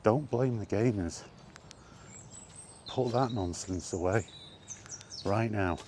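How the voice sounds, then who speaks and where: A man's voice: lowish voice, takes it slowly, darkish tone, a little hiss or hum. A man talking off the cuff in an exasperated gaming rant, emphatic and full of rhetorical questions. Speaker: solo video game vlogger.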